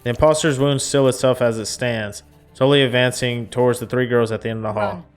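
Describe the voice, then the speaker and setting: A man's voice: mid-range voice, steady tone, a little fast, faint music behind. A man reading a visual novel aloud as a game streamer, mixing narration with casual reactions.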